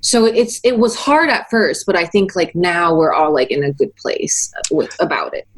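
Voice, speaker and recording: A woman's voice: on the low side, lively intonation, moderate pace, breathy edge. A young woman from Taiwan, a musician guest in her twenties, talking casually in an interview with many fillers and frequent laughs.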